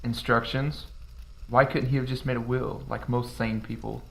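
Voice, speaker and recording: A man's voice: medium pitch, little pitch movement, normal speed, gravelly, a bit bright, poor audio. A man playing a greedy, cynical middle-aged uncle in an audio drama, sardonic, impatient and irreverent. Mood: scornful. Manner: sardonic, dry. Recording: music behind the voice.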